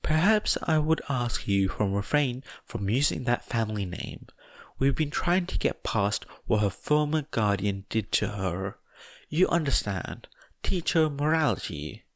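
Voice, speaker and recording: A man's voice: medium pitch, expressive, unhurried, no room sound, voice pushed up. A man voicing a small, genteel animal school principal, cheerful, formal and politely cunning, in an audiobook. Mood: gently firm.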